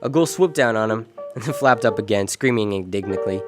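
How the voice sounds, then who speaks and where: An actor playing a world-weary, decent American private detective in an old-time radio drama, wry and clipped. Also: male voice, on the low side, fairly quick, over music, close to an everyday voice. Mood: wistful, wry. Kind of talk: 1940s detective radio drama, first-person narration and dialogue.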